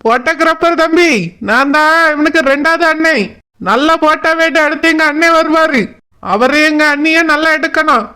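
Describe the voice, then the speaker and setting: Squeaky, bright village big brother. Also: male voice, moderate pace, full character voice. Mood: proud, affectionate. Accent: Kanyakumari Tamil. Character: doting, simple-minded village elder brother, cartoon. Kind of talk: cartoon village comedy dialogue.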